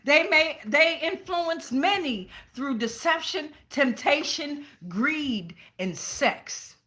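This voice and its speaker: A woman's voice: sits high, expressive, slow, bright, forceful tone. An American woman giving a casual live tarot reading in a slangy, profane, rambling way. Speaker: tarot reader, channel host.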